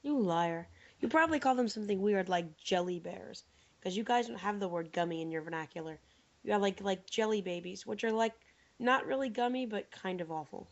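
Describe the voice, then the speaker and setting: A woman co-hosting a podcast with a hoarse voice from laryngitis, joking and bantering in casual chat. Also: medium pitch, fairly quick. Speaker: podcast co-host. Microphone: remote call microphone. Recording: dull lo-fi online call audio.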